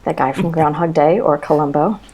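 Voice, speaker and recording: A woman's voice: low-pitched, fairly even intonation, a little fast, a little mellow, rough recording. A woman guesting on a podcast, chatting casually and comically in a wry way. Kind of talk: casual comedic chat. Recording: slight background noise.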